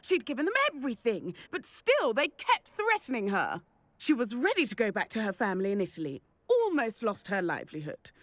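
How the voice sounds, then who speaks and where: An actress playing an indignant, fretful village shopkeeper in a video game, speaking in a formal, emphatic way. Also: female voice, fairly high pitch, wildly swooping pitch, brisk, no room sound. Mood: grave, indignant. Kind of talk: video game cutscene acting.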